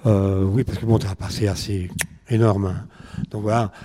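A man's voice: on the low side, fairly even intonation, normal speed, mellow. A man interviewing squat residents, asking long rambling questions full of fillers. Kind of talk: casual interview.

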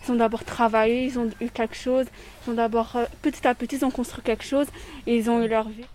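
A woman's voice: on the high side, flat delivery, fast, darkish tone, rough recording. A woman giving a firm street-interview opinion, repeating her phrasing to make the point. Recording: faint ambient noise.

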